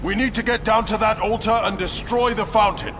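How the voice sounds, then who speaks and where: Man as a stern, high-strung warrior commander. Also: fairly even intonation, fairly quick, very noisy, lightly acted. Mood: urgent commanding. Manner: terse, forceful military declaiming. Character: stern, resolute male warrior commander, zealous. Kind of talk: video game cutscene acting.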